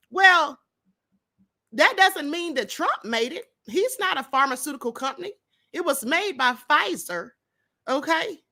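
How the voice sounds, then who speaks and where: A woman's voice: extremely high pitch, bright, forceful tone. A middle-aged woman hosting her own livestream, giving opinionated commentary and firing rhetorical questions at her audience. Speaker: livestream show host. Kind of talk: opinionated commentary to a live chat.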